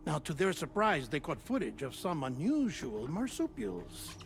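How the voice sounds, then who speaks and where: A theatrical researcher, higher-pitched man. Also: sing-song, creaky, slight background noise. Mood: expository, intrigued. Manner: theatrical, conversational storytelling with chuckles and dramatic pauses. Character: eccentric, affable male researcher recording an explanatory message. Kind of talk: video game cutscene monologue, explanatory narration.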